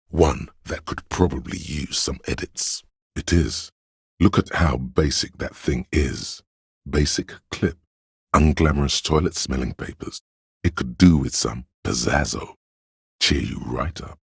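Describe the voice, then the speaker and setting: A man voicing a snobbish inner voice of artistic taste in a video game, gravelly and husky, with a pompous, deliberate, theatrical delivery. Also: rumbling low, no room sound, studio quality, exaggerated voice. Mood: disdainful. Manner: pompous, deliberate, disdainful, florid. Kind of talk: scripted game narration, theatrical.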